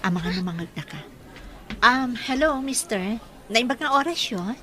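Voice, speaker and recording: An actress playing an exasperated younger sister, a singer, in a radio drama. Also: female voice, on the high side, lively intonation, normal speed, fairly bright tone. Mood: calmer, confiding. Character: popular singer Adelfa, exasperated with her wayward older brother. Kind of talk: Ilocano radio drama acting.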